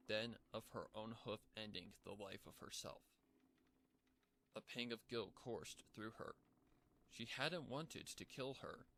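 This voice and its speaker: A man reading a dark fan fiction story aloud as scripted narration. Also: fairly low pitch, slight background noise.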